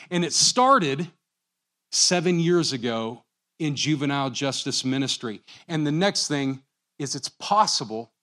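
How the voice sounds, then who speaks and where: A man's voice: on the high side, a bit bright. A man addressing a church congregation in a conversational way, with frequent fillers. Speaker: church speaker.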